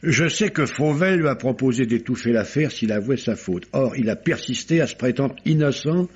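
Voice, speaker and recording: A husky-voiced, courteous male magistrate of the nineteenth century. He speaks formally and with dramatic emphasis, fair-minded in temper, at a middling pitch. It sounds like a muffled old radio play. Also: lively intonation, normal speed. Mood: grave, earnest. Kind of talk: classic French radio drama acting. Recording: dull, lo-fi old radio recording.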